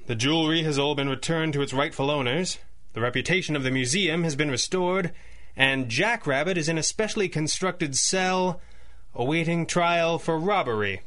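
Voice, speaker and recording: A man's voice: fairly high pitch, moderate pace, fairly bright tone, lightly acted. A man playing a suave, confident, wryly amused masked superhero in a 1930s-style radio adventure serial, speaking formally and theatrically. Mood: sober. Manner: formal, smooth-talking, theatrically composed, droll.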